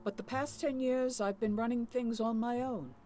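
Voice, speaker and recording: A woman's voice: a little high, fairly even intonation, moderate pace, mellow, a little hiss or hum, close to an everyday voice. A woman playing an unflappable, proper older widowed shopkeeper in an adventure game, courteous and formal but guarded. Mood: guarded, firm. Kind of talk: voiced adventure game dialogue.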